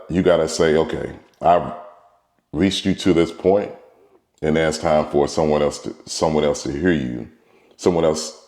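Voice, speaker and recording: A man's voice: a bass voice, warm tone, reverberant. A middle-aged baseball trainer with a cold, talking in a rambling casual monologue as host, repeating and restarting his words. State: congested from a cold.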